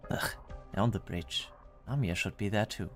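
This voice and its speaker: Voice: deep voice, moderate pace, faint music behind, natural voice. An actor playing a stern, formal, dryly exasperated royal officer in an amateur game fan dub. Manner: formal, clipped, dryly reproachful.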